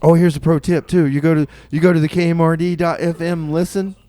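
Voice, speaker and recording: A man works as a community radio host. His voice sits a little high, and he announces in a loose, informal ramble, doubling back on phrases. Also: flat delivery, a little fast, dark tone, no echo. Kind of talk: casual, rambling music-show announcing.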